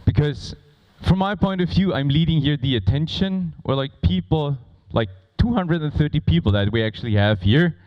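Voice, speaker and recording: A man who is not a native English speaker moderating a conference on stage, talking informally and playfully with a loud, projecting voice. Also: fairly high pitch, expressive, moderate pace, hardly any pauses, highs cut off. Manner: playful, bantering with audience. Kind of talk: informal live stage presentation. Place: conference stage before a large audience.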